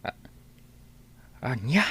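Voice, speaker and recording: An actor playing an older, protective village father and grandfather in a radio drama, fretting in a husky voice. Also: very slow, bright, forceful tone. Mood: concerned. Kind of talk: Ilocano radio drama acting.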